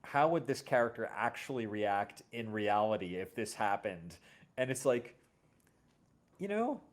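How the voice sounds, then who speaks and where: A man with an American accent and a fairly low voice. He talks casually as a host and series creator, telling anecdotes in a loose conversational style. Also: very animated, a little slow. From Brooklyn, New York.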